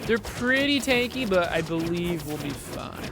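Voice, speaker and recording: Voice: mid-range voice, expressive, moderate pace. A game developer giving casual gameplay commentary, explaining things step by step and correcting themselves along the way. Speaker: game developer demoing own game.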